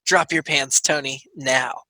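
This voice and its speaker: A man as a bossy, bright-voiced lady doctor. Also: mid-range voice, fairly even intonation, takes it slowly, no echo, exaggerated voice, rough recording. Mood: commanding. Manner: bossy, giving orders. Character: commanding female doctor playing dominatrix in bawdy parody.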